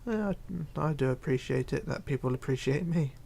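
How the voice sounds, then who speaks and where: A man hosting a casual livestream, reading chat aloud and chatting in a rambling, halting way. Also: mid-range voice, vocal fry, dark tone. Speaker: Minecraft livestreamer.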